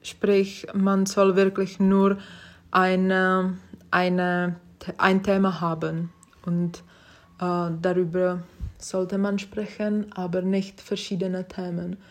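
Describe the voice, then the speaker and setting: Young woman, non-native speaker, slow and hesitant, flat even delivery, lower voice, podcast host. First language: not German. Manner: hesitant, many fillers and restarts; self-reflective, encouraging. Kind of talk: casual personal monologue.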